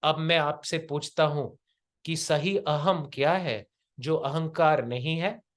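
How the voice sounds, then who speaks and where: A man's voice: on the high side, slow. A man with an Indian accent giving an interactive spiritual lecture in a didactic, questioning manner, as a coach teaching a group. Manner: didactic, rhetorical questions, switches between Hindi and English.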